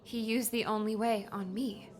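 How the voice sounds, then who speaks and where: A woman's voice: fairly high pitch, little pitch movement, moderate pace, lightly acted. An actress playing a wary, bewildered young woman adventurer in a dramatized audiobook. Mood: reflective. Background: soft background music.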